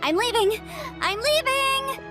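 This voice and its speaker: Young female legal advisor, game character, high pitch, animated, confident, chatty, music behind. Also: slow, exaggerated voice. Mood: breezy, playful. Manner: articulate, self-assured, lively. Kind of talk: video game character acting.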